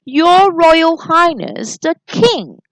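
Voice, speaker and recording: Woman as a high, drawn-out storybook king. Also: animated, exaggerated voice, poor audio. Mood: stately, announcing. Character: stately male storybook king dictating formal letters.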